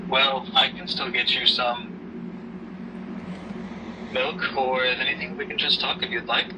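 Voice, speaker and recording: Distant, slow-spoken, concerned father played by a man. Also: mid-range voice, flat delivery, dull audio, background noise, lightly acted. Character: caring, probing father, gently protective. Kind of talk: amateur audio drama acting, naturalistic.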